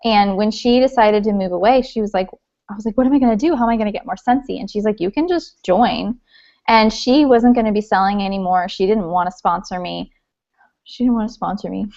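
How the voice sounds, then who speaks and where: A woman's voice: medium pitch, a little fast, creaky, a little mellow, muffled recording. A woman in her late twenties, speaking as a guest on an online call, telling her story in a friendly, chatty way. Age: 20s. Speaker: guest speaker, direct sales leader. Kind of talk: informal training talk. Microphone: video call.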